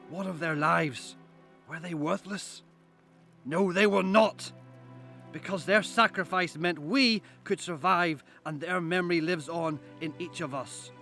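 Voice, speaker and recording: Solemn Northern Irish headmaster, music behind. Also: male voice, fairly high pitch, pushed and bright. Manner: oratorical, earnest, measured. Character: solemn, rousing male headmaster of a post-apocalyptic school. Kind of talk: audio drama acting.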